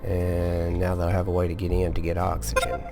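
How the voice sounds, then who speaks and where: A man hosting a casual gameplay video, sleepy and relaxed, explaining each step as he goes with small restarts. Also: low-pitched, moderate pace, loud music behind the voice. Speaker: gaming video host. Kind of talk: casual let's-play commentary.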